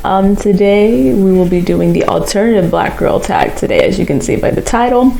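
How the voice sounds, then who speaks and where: A woman's voice: medium pitch, animated, normal speed, vocal fry, mellow, poor audio. A nineteen-year-old woman chatting casually to her channel viewers, rambling with fillers and little giggles. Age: young adult. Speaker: vlogger. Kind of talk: casual vlog chat.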